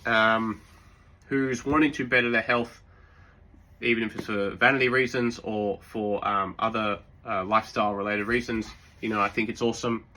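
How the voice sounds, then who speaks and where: A man with a sore throat giving relaxed, rambling fitness advice, full of fillers and restarts. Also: lowish voice, poor audio. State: sore throat, occasional coughs. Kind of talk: casual advice talk.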